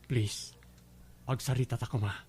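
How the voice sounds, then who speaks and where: A man's voice: medium pitch, fairly even intonation, normal speed, a little mellow, slight background noise, close to an everyday voice. A man playing a remorseful young ex-boyfriend in a radio drama, speaking earnestly with an Ilocano Filipino accent. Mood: pleading, remorseful. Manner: earnest, pleading.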